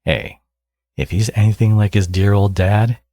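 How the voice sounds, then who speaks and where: A man playing a smug, teasing villain with a secretly caring side in an ASMR audio roleplay, speaking casually and sardonically with a husky voice. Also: fairly low pitch, normal speed, dark tone, lightly acted. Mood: wry, grudging.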